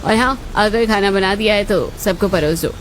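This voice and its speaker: A woman's voice: medium pitch, moderate pace, slight background noise, natural voice. A woman playing a spiteful, bossy young sister-in-law in a cartoon family drama, scolding and commanding in a haughty way.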